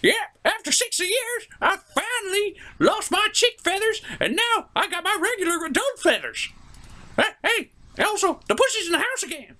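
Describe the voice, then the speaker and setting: A very high, cheeky old grandpa bird. He is cocky and proud of himself, a lively cartoonish puppet elder. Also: moderate pace, exaggerated voice. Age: older.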